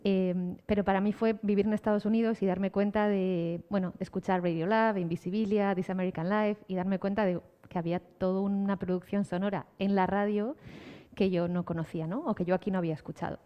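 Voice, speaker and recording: A woman's voice: medium pitch, steady tone, a little fast, clean tone. A woman telling a personal story as a podcast creator on a panel. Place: live panel in a hall. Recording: reverberant hall, slightly dull sound.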